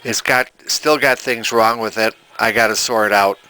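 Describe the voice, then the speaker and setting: An older man giving a casual repair demonstration as a hobbyist, halting as he thinks aloud. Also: lowish voice, fairly even intonation, bright, forceful tone, poor audio. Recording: background music from vintage radio and turntable.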